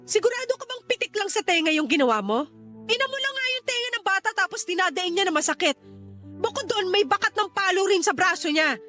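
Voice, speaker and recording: A man's voice: very high-pitched, animated, quick pace, bright, forceful tone, soft background music, pitched above the performer's own voice, exaggerated voice. A man voicing a hurt, protective young mother with pleading, accusing questions in a Tagalog radio drama. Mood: accusing, upset.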